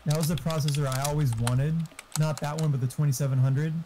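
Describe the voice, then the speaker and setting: A man's voice: on the high side, steady tone, quick pace, noisy background. A man chatting casually over gaming voice chat, rambling and swearing freely.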